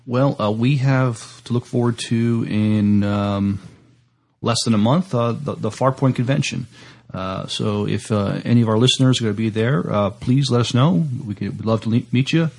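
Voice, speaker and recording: Gravelly, halting male podcast co-host. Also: mid-range voice, darkish tone. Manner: hesitant, halting, agreeable. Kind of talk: casual chat.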